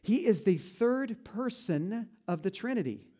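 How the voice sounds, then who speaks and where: A man preaching a conversational sermon, joking at his own expense and slowing down to stress key points. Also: animated, warm tone. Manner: folksy, self-deprecating humor with frequent restarts; slows down and drops low for emphasis on key points. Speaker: pastor.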